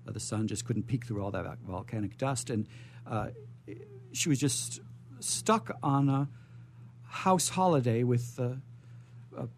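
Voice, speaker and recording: A man's voice: mid-range voice, a little slow, long pauses, a little mellow, a little hiss or hum. A man hosting a live radio show, rambling playfully off the cuff with theatrical phrasing.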